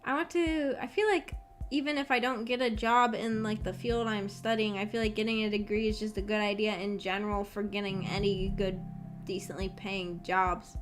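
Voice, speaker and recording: A woman's voice: medium pitch, fairly even intonation, normal speed. A young adult woman chatting casually on a livestream in a hesitant, rambling, self-effacing way. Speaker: streamer chatting with live chat. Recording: background music under the voice. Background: background music.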